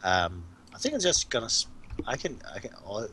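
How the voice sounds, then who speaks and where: A man from Denmark with a Danish accent, a game designer chatting casually and haltingly while playing a board game. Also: lowish voice, wildly swooping pitch, pushed and bright, slight background noise.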